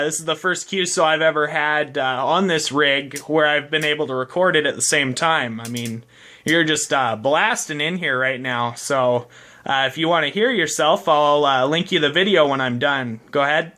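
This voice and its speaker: A man's voice: fairly high pitch, normal speed, bright, forceful tone. A man talking casually as an amateur radio operator during a radio contact, recorded on a home video. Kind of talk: casual ham radio conversation.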